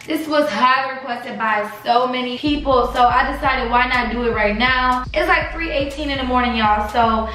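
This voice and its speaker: A woman's voice: high-pitched, moderate pace, few pauses, bright, forceful tone, very echoey, poor audio. A young woman vlogger telling a casual storytime in a sleepy late-night voice, chatty and confiding, calling her viewers y'all.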